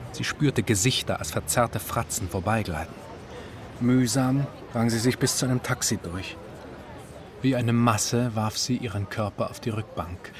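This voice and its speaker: An actor narrating a literary radio drama in German in a measured, sober way. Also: male voice, mid-range voice, a little hiss or hum, lightly acted.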